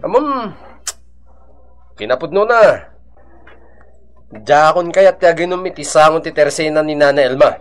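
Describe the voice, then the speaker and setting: High, animated drinking buddy. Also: male voice, measured pace, pauses often, faint music behind. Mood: wary, advising. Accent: Ilocano. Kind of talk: Ilocano radio drama acting.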